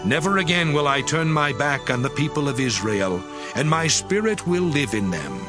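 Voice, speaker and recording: An actor playing the Lord God as a stern, solemn divine judge, declaiming in a measured way in an audio scripture drama. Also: male voice, a little high, lively intonation, lightly acted. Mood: solemn, merciful. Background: music behind the voice.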